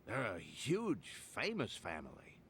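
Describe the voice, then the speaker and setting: Game dub: easygoing old local, low voice, lively folksy delivery, amused. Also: male voice, measured pace, a little hiss or hum, full character voice. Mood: candid. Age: older. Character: genial older local man, chatty and easygoing. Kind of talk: English video game dub acting.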